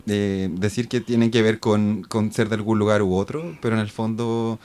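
A man with a Chilean Spanish accent talks in a low-pitched voice. He speaks reflectively as a guest in a relaxed chat, pausing and restarting words as he gathers his thoughts. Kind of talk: casual podcast conversation.

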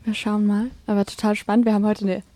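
A woman's voice: medium pitch, flat delivery, quick pace, dark tone, no echo. A woman hosting a casual interview, attentive and encouraging as she asks questions. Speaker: interviewer, host.

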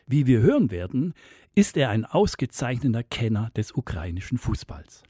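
A man announces a campus radio show from a studio. His voice is dark and husky, and his pitch moves a lot. The room sound is dry and close. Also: very animated, no room sound. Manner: measured, announcing. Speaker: radio host. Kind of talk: scripted radio introduction.

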